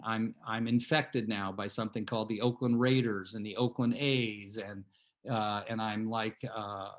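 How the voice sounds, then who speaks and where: Rambling sixty-something man telling a story. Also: on the low side. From California, USA. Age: 60s. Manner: rambling, conversational, many fillers and restarts. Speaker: real estate team leader. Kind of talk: storytelling talk to a team.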